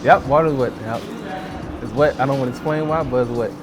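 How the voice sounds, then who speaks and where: A man's voice: medium pitch, fairly quick. A man from the American Midwest answering casually in an on-camera interview. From Chicago, United States. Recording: music behind speech.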